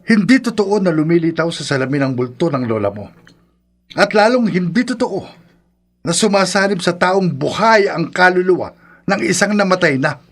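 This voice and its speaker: An actor playing a defensive, domineering husband in a radio horror drama, with emphatic delivery. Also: male voice, sits high, very animated, moderate pace, a bit bright, heavily acted. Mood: domineering, denying.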